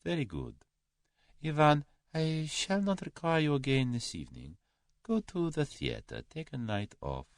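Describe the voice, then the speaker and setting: A man voicing a cowardly, haughty aristocrat in an audiobook story, formal and halting. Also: wildly swooping pitch, no room sound, studio quality, heavily acted. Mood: guarded, courteous. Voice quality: soft-spoken, high when agitated.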